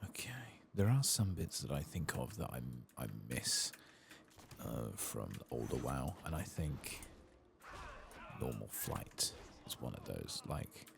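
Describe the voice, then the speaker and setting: Very low male voice, hushed and soft-spoken, unhurried ASMR game commentary, faint background noise. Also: big pitch swings, vocal fry. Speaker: host of a gaming playthrough channel.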